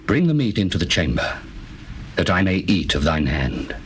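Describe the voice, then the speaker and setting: A man playing a lovesick, brooding young prince in a Bible drama, speaking archaic lines slowly and theatrically. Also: big pitch swings. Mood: sickly longing. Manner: low, slow theatrical delivery of archaic biblical dialogue. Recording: clearly noisy old cassette tape.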